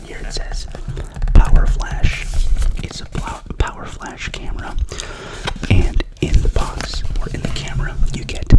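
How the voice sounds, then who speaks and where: A young man with a cough whispering a rambling, chatty ASMR unboxing as the channel's host. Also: unhurried. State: sick with a cough.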